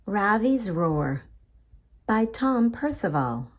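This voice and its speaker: A woman with a mid-pitched voice reads a picture book aloud to young children. Her delivery is slow and expressive, with dramatic emphasis on key words. She talks directly to her young listeners as a story time host. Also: crowd noise.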